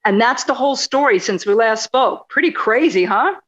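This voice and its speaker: A woman's voice: fairly high pitch, animated, moderate pace, a bit bright, highs cut off, faint music behind. A woman playing a sarcastic, indignant journalist in a radio play, with a wry way of talking.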